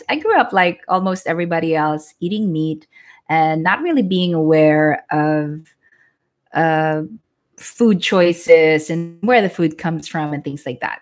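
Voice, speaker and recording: A woman in her forties, a yoga teacher from the Philippines, talking frankly and casually to viewers on a live stream, often restarting her sentences. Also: on the low side. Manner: chatty, frank, restarts sentences, laughs lightly.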